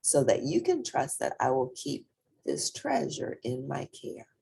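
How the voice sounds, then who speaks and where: A woman's voice: rumbling low, huge pitch swings, unhurried, vocal fry, dark tone, husky, exaggerated voice. A woman voicing an earnest, affectionate and eager grandchild in a children's storybook. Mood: sincere, grateful.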